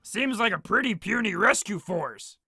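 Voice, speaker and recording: A man's voice: high-pitched, lively intonation, normal speed, bright, forceful tone, breathy edge, exaggerated voice. A man voicing a brash, bossy male crocodile detective in a fan comic dub. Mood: confident. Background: background music.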